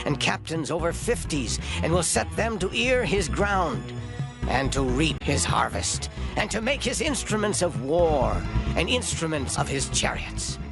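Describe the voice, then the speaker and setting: A stern solemn prophet, high voice, music playing behind. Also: male voice, heavily acted. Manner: solemn, emphatic, declamatory. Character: stern prophet warning his people about kingship. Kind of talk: dramatized scripture acting.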